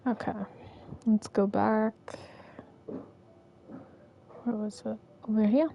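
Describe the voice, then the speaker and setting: A woman's voice: medium pitch, fairly even intonation, moderate pace, creaky, mellow, muffled recording, slight background noise. A woman streaming a video game, casually commenting and dryly talking back to the characters.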